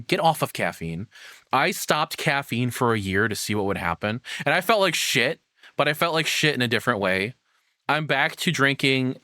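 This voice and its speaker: A man's voice: lowish voice, pushed and bright, dry recording, studio quality. A young man giving casual, opinionated reaction commentary, exclaiming and swearing as he goes. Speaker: reaction video host.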